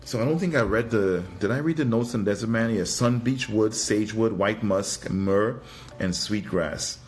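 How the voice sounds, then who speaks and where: A man's voice: fairly low pitch, moderate pace, faint music behind. A man from Trinidad giving a casual live product review, thinking aloud in a hesitant, musing way and often repeating his phrases. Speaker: fragrance reviewer and livestream host.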